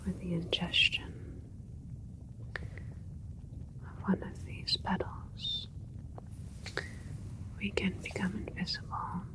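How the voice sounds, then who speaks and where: Woman doing a soft whispery alien doctor for sci-fi asmr, real slow and breathy, calm n kindly. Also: lowish voice, rough recording. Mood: calm, pleased. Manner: soothing, unhurried, clinical yet kindly, halting phrases. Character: gentle alien scientist doctor tending a plant hybrid.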